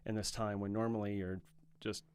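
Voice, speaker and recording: A man's voice: lowish voice, flat delivery, moderate pace, a little mellow, smooth, no echo. A man on a casual podcast who answers with encouraging, affirming remarks. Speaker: podcast guest, studio owner.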